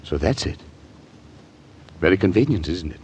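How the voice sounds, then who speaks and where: Deep-voiced, evasive poet, vintage radio. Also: male voice, animated, moderate pace, a little mellow. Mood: cool, probing. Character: Stephen Burns, self-absorbed, evasive married poet with a secret. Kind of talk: mid-century American radio drama acting. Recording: old radio recording, faint noise.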